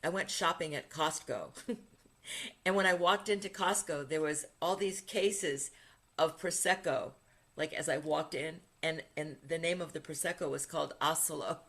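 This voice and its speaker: A woman's voice: deep voice, moderate pace, a bit bright. Chatty upbeat woman hosting an interview. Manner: chatty and upbeat, laughs while telling stories. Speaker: podcast host, retreat leader. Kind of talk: casual interview conversation.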